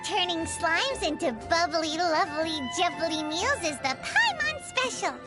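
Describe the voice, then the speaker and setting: Squeaky tiny fairy companion, cheeky. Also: female voice, lively intonation, measured pace. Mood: cheerful, proud. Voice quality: squeaky, childlike. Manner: refers to self in third person, exclaiming, bubbly. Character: tiny childlike female fairy companion, cheeky, excitable, easily offended. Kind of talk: English video game voice acting. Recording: music behind the voice. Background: music.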